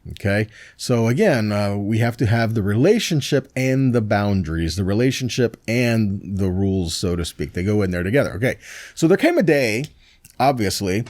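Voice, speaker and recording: A man's voice: medium pitch, darkish tone, no echo. A man teaching scripture in a sermon, reading verses aloud and checking in with short tag questions. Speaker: preacher teaching a Bible study.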